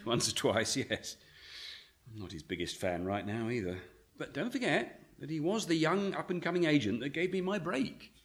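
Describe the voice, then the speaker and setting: A man's voice: on the high side, lively intonation, normal speed, lightly acted. A man playing a reluctant, anxious novelist in a radio play, placating and weary in a hesitant conversational manner.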